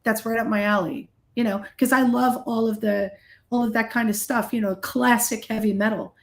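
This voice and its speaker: Candid woman with a higher-pitched voice. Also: steady tone, moderate pace. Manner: candid, self-deprecating, blunt. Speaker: heavy metal guitarist, singer and songwriter being interviewed. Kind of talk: casual interview chat, anecdotal storytelling.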